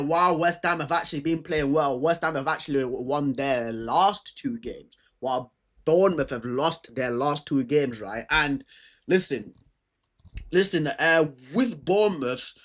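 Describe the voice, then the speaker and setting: A man's voice: a little high, a bit bright. A man with a stammer chatting casually and unscripted as he hosts a football preview, using slang and repeating himself as he thinks aloud. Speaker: football fan host previewing fixtures.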